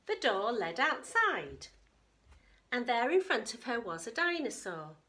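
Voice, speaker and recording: A woman reading a picture book to young children in an expressive storytelling style, pausing for chatty questions and asides. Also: on the high side. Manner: animated, addresses young listeners with questions. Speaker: teacher reading story time.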